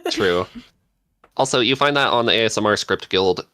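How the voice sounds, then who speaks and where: A man chatting casually as a podcast panelist, excitable and self-deprecating in manner. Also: lowish voice, moderate pace, smooth, dry recording.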